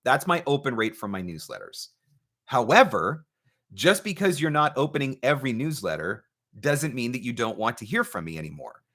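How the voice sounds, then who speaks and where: Bright, emphatic man giving advice. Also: mid-range voice, sing-song. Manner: emphatic, deliberate, repeats key points. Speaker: host, voice-over marketing coach. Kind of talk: live Q&A advice talk, reading out viewer questions.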